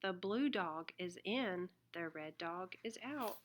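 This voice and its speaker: A woman reading a children's picture book aloud in an expressive, deliberate way. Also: fairly low pitch, background noise. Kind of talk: read-aloud children's storybook narration.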